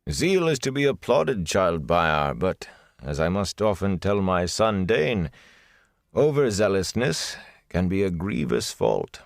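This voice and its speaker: A man voicing a grandfatherly old lord captain in an audiobook, calm, formal and gently admonishing. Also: deep voice, slow pace, creaky, no echo, clean studio sound, exaggerated voice. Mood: calm, patient. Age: older. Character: grandfatherly gray-haired male lord captain, calm and sadly stern.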